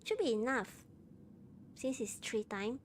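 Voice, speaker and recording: A woman's voice: a little high, very animated, unhurried, noisy background. A woman with a Malaysian accent chatting casually as a game livestream host, playful, repeating words and dropping in local particles. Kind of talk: casual livestream chat while gaming. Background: music.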